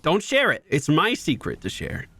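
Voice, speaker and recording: Gameplay commentator, male, higher-than-average voice, very animated intonation, playful and upbeat. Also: normal speed, vocal fry, a little hiss or hum. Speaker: gaming host.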